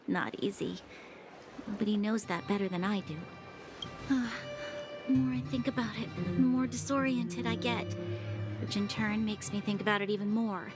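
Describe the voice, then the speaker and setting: A woman's voice: mid-range voice, moderate pace, long pauses, natural voice. An actress playing an earnest young woman soldier, torn between duty and doubt, in a video game. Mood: reflective. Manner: earnest, reflective, conflicted. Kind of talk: game voice acting. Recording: music in the background.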